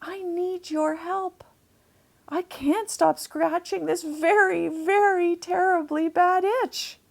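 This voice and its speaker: A woman voicing a gentle, sad male manatee in a children's storybook, with an earnest, plaintive delivery. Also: very high-pitched, fairly even intonation, takes it slowly, vocal fry, pitched above the performer's own voice, full character voice. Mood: hopeful, pleading.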